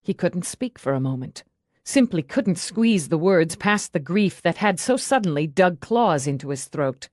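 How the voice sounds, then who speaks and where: Woman, lowish voice, audiobook narrator, dramatic storytelling, very dry close recording. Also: expressive, measured pace, a little mellow, no echo. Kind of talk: dramatic scripted fiction narration.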